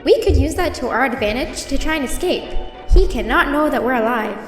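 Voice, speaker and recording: A woman's voice: sits high, animated, brisk. A woman voicing a chirpy, bossy, anxious doll-like teacher creature in a video game. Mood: chirpy, secretive. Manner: chirpy, prim, urgent. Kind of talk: video game character acting. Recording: echoey hall, distant, music behind.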